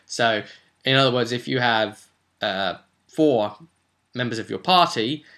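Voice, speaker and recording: A man's voice: mid-range voice, measured pace, a bit bright. A man giving an informal advice monologue as a game master and host, chatty and emphatic, often putting rhetorical questions to the listener.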